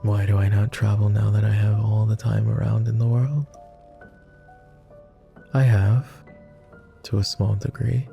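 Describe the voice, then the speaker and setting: Man playing a posh, gravelly, slow-spoken vampire. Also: lowish voice, little pitch movement, soft background music. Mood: tender longing. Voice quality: soft, gravelly. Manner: posh, formal, tender. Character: gentle, teasing male vampire, devoted and wistful. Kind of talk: intimate roleplay ASMR.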